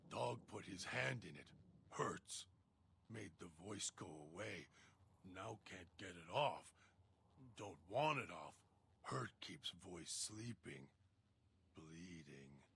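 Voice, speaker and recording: A man's voice: fairly low pitch, creaky, a bit bright, breathy edge, heavily acted. A man voicing a feral, broken-minded servant who calls himself Dog and talks of himself in broken third person. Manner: halting, childlike, fearful of an inner voice.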